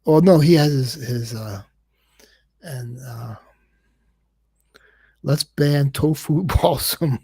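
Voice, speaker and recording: A man's voice: medium pitch, takes it slowly, vocal fry, pauses often, darkish tone, slightly rough. A man hosting a casual livestream, rambling and repeating words, and he says he stutters. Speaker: livestream host.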